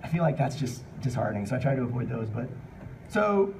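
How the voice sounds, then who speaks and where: A man's voice: medium pitch, sing-song, quick pace, a little mellow. A man hosting a live fan panel, talking casually in a rambling, jokey, unscripted way and taking questions from the room. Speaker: panel host answering audience questions. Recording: distant mic in noisy live panel room.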